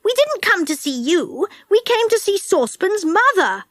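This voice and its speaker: A plucky little male storybook creature, as a woman reader puts him on, loud and defiant. The voice sits higher than her own and swoops about. The sound is close and dry. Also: very animated, normal speed, dry recording, heavily acted.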